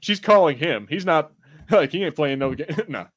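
A man's voice: on the high side, expressive, normal speed. A man co-hosting a podcast in casual, slangy chat, joking and rambling with some swearing. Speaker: podcast co-host. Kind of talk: casual chat reviewing a TV show and reading live chat.

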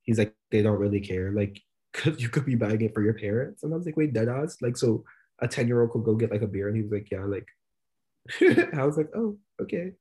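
A young man co-hosting a casual podcast, his voice soft and mellow. He rambles easily, leaning on fillers and breaking into laughs. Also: fairly low pitch, animated, brisk. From United States. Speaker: podcast co-host. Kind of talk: casual chat.

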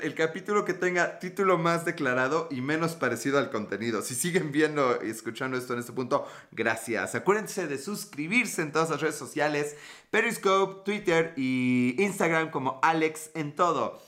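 Mexican host, 30s, casual live chat, swears and jokes, bright tone. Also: male voice, fairly high pitch. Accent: Mexican Spanish. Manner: joking, profane, playful. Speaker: podcast host. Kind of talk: casual live-stream chat reading comments.

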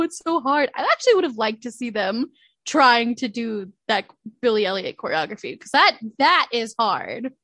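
High-voiced young woman chatting. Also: no echo. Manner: animated, laughs often, acts out quotes. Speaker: podcast co-host. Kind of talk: casual chat.

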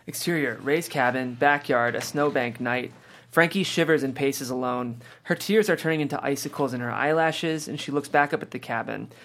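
A man narrates a screenplay at a table read. His voice is mid-pitched, and he reads scene headings and action lines as scripted narration. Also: normal speed, fairly bright tone. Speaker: stage directions reader.

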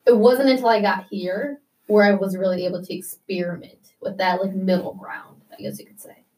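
A young woman from the United States talks in a loose, casual interview way, full of "like" and little restarts. Her pitch sits in an ordinary middle range. She sounds slightly set back from the microphone. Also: fairly even intonation, normal speed, darkish tone, distant mic, rough recording. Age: young adult. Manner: chatty, many "like" fillers.

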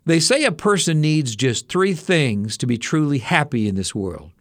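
A man hosting a casual daily news briefing, slightly hoarse and adding personal asides to the headlines. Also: on the high side, big pitch swings, moderate pace, no room sound, clean studio sound. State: slightly hoarse, losing his voice. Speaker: radio news briefing host.

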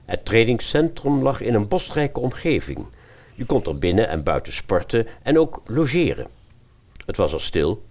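A man's voice: lowish voice, sing-song, moderate pace, a little mellow. A man narrating a scripted fairy-tale radio play as the storyteller.

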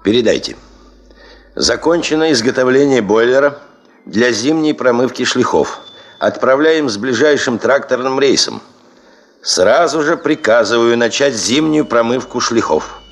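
An actor playing a driven, commanding head of a gold-prospecting expedition in a Soviet radio drama, deliberate and brooding. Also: male voice, medium pitch, animated.

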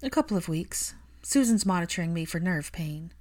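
A woman voicing an articulate young woman who is thoughtful, precise and gently teasing. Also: fairly low pitch, animated, moderate pace. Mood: light.

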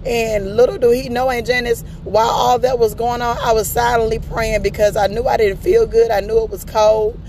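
A hoarse, raspy woman giving an earnest personal testimony, telling a story to her aunt in a faith-filled way. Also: on the high side, level tone, moderate pace, hardly any pauses, darkish tone, slight background noise. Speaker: podcast speaker.

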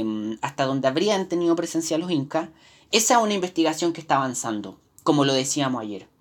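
A woman with a Chilean accent giving a live history lesson, explaining from slides with many fillers and restarts. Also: deep voice. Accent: Chilean Spanish. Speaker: history teacher. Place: indoors, teaching from slides.

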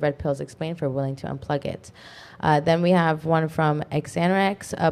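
A woman co-hosting an online talk show, reading viewer comments aloud quickly, with frequent fillers. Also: low voice, a little mellow. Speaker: co-host reading super chats. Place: online video podcast studio.

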